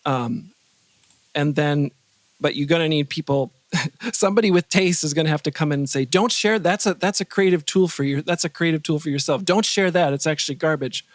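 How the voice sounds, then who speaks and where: Male podcast guest, fairly high pitch, dry sound, casual and halting, wry and thoughtful. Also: no echo. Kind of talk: casual interview chat.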